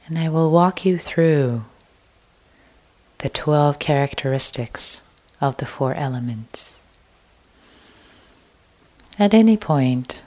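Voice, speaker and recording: A woman meditation teacher leading a guided meditation in a gentle, hushed way, leaving long pauses. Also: very deep voice.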